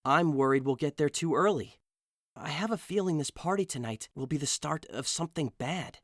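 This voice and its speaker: A man's voice: a little high, expressive, normal speed, no room sound, studio quality, lightly acted. An actor playing a brooding, lovesick young nobleman in an audio drama, earnest and reluctant. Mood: gloomy.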